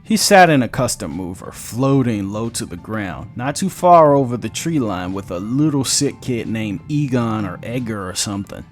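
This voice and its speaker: A man's voice: on the low side, lively intonation, moderate pace, few pauses, soft background music. A man narrating a sci-fi story in a casual, profane storytelling manner. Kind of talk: audiobook-style story narration.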